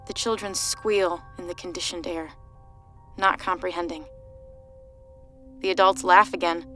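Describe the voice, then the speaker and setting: A woman narrating a scripted story in a measured, dryly ironic manner. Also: fairly low pitch, fairly bright tone.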